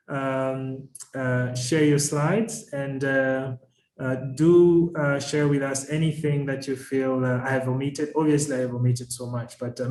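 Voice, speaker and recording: Male moderator, 40s, mid-range voice. Formal, halting, courteous; roomy echo on a video call. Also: mid-range voice, a little mellow. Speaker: webinar moderator. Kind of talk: formal introduction of speakers. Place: indoors.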